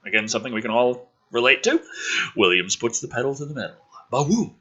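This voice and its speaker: A man's voice: mid-range voice, lively intonation, quick pace, pushed and bright, slightly rough, dull audio. A man reading a script aloud theatrically, with sudden emphasis and sarcastic, exasperated, profane commentary. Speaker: host reading and mocking a film script.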